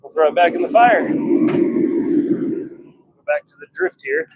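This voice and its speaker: A man's voice: high voice, huge pitch swings, moderate pace, vocal fry. A man giving casual commentary as he works in a noisy forge workshop, musing to himself in short fragments. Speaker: blacksmith hosting a workshop livestream. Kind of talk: casual commentary while working. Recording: very noisy workshop with clicks and clanks.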